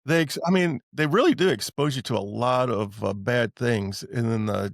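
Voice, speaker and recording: A man's voice: mid-range voice, moderate pace, vocal fry, no echo, studio quality. A man hosting a podcast, chatting in a plain-spoken, informal conversational way. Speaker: podcast host, veteran. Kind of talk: casual conversational interview.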